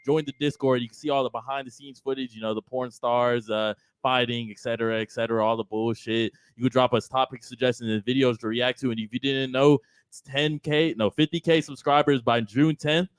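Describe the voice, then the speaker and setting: A man's voice: medium pitch, fairly even intonation, a little fast, clean tone, dry recording. A man hosting a live podcast, hyping the crowd with crude, teasing banter. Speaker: podcast host. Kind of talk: live show intro and casual chat.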